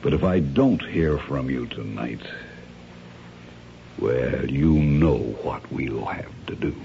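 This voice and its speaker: An actor playing a calculating crime schemer in a radio mystery, measured, formal and condescending, with cool menace. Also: male voice, rumbling low, creaky, heavily acted. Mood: quietly threatening. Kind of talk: radio mystery drama acting. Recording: dull, hissy old radio recording.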